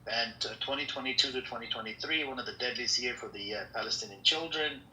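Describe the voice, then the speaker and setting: A man talks through history in loose casual chat, stopping and restarting often. His voice is very bright and forceful. The room around him is echoey, with a faint hiss behind. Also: mid-range voice, quick pace. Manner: rambling, many fillers and restarts. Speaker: podcast host.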